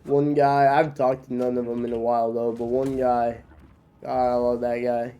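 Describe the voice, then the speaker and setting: A man's voice: medium pitch, flat delivery, normal speed, dark tone, clear, resonant tone, dull audio. A young man from Norway who is sick with a cough, chatting loosely with viewers while streaming a game, with lots of fillers and restarts. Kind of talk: casual chat with stream viewers while gaming.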